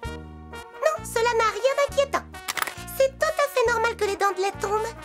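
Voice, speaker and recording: A woman's voice: very high-pitched, moderate pace. A woman voicing a kindly, reassuring dentist mouse in a cartoon, with music behind. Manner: soothing, reassuring, patient.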